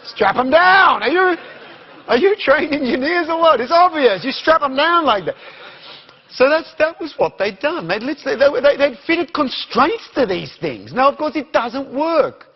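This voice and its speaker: A man's voice: extremely high pitch, very animated, normal speed, dull audio. A man with an Australian accent giving an interactive conference talk, questioning the audience as he goes. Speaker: conference speaker.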